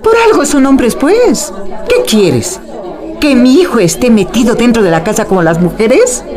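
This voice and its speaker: A woman's voice: a little high, sing-song, normal speed, crowd noise. A woman acting a harsh, scornful older mother-in-law in a radio drama, with a Peruvian accent and an imperious, scolding manner. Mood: stern, scornful.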